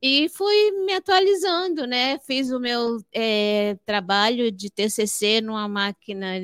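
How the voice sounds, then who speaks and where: Older lady from the northeast, smooth highish voice, explaining stuff as an interview guest. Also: takes it slowly, fairly bright tone, no echo, studio quality. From Fortaleza, Ceará, northeastern Brazil. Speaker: podcast guest, psychologist. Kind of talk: conversational interview answers.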